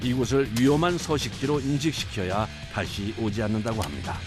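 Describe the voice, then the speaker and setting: A man narrating a documentary in a calm, scripted and informative way. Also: mid-range voice, a little fast, over music. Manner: calm, even informative delivery.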